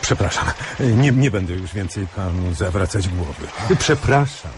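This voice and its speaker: An actor in a radio play playing a polite, halting, apologetic but menacing killer. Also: male voice, low-pitched, expressive. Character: polite, hesitant, menacing male killer confronting his victim. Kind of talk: radio drama acting. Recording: noisy recording with rain. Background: rain.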